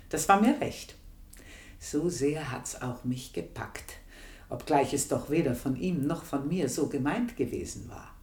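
An actress playing an aging country maidservant in a radio play, telling her story in a mocking, confiding way and often dropping to a hushed whisper. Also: low-pitched, husky, full character voice. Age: older. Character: aging country maidservant, sly, scornful, knowing.

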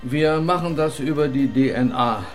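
Actor as sober police examiner over music. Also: male voice, mid-range voice, normal speed, natural voice. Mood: grave. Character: sober male police examiner at crime scene. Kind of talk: German radio crime drama acting.

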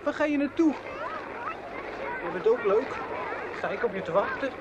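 An actor playing a chatty young skater in a radio play, gossipy and scornful in an eager way. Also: male voice, very high voice, animated, normal speed, highs cut off, a crowd in the background. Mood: indignant. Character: chatty, mocking young male skater friend. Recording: noisy outdoor-scene radio play recording.

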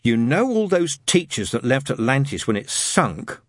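Storybook boy gang leader, played by a man: raised pitch, husky, lofty and insistent. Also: big pitch swings, moderate pace, no room sound, clean studio sound. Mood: lofty, confident. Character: bossy, know-it-all boy, imaginative gang leader.

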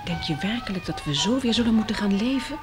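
A woman acting in a radio play as a caring, anxious, motherly survivor, with an expressive, emotional delivery. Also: mid-range voice, fast, over music, lightly acted. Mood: concerned. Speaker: actress in a radio drama.

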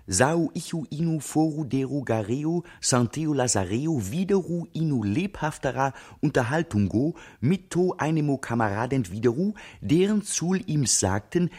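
A man gives a stylised literary recital for radio. His medium-pitched voice is husky and moves widely in pitch. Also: darkish tone. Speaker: radio play reader.

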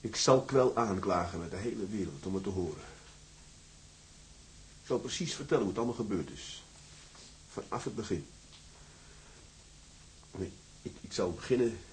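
Actor as brooding, low-voiced prisoner seaman. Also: animated, normal speed, long pauses, slight background noise, lightly acted, low-quality recording. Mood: brooding resolve. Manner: vehement, brooding, declamatory. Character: imprisoned seaman, righteous, obsessive self-styled martyr. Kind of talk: dramatized radio or audio drama acting.

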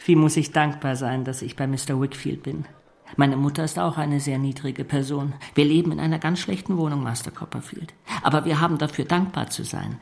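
An actor in a German radio drama playing a fawning young law clerk, falsely humble, with a servile, oily manner. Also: male voice, on the high side, steady tone, brisk, creaky. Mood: cringing humility. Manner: servile, self-abasing, oily.

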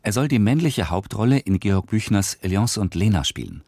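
Male announcer, lowish voice with lively pitch, measured, dry studio. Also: dry recording. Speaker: programme announcer. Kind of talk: scripted radio introduction.

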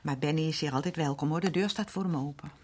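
A woman's voice: low voice, fast talker, darkish tone, breathy edge. An actress playing a chatty middle-aged working-class mother who rambles and defers to her husband, in radio drama. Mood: confiding. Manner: rambling, repetitive, seeking confirmation. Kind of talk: naturalistic Dutch radio drama acting.

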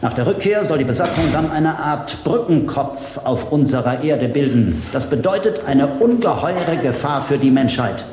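An actor playing a grave official in a science fiction radio drama, speaking formally and deliberately about a threat to humanity. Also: male voice, a little high, animated, close to an everyday voice. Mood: grave, explanatory. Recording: echoey hall, long reverb, noisy background.

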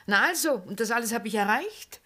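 An actress playing a vivacious, effusive middle-aged former stage star with an Austrian accent in a 1960s radio drama. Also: female voice, fairly high pitch, expressive, a little fast, fairly bright tone. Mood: exuberant. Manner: theatrical, effusive, often breaking into laughter. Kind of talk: 1960s German-language radio drama acting.

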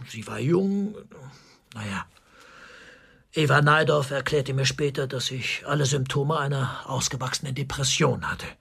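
A cornered army officer being interrogated in a radio crime play. His voice is very husky and gravelly, and it swings widely from strained indignation to troubled confession. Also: mid-range voice, animated, measured pace, a bit bright, exaggerated voice. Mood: subdued, confessional. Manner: agitated, swinging between indignant outbursts and subdued confession. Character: cornered male army officer under interrogation, defensive, tormented. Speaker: suspect, military governor. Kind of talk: German radio crime drama acting.

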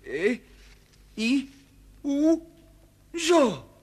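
A man's voice: very high voice, big pitch swings, very slow, a little mellow, exaggerated voice. An actor playing a clever, plucky boy hero, eager and theatrical, in a children's storybook recording. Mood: incantatory.

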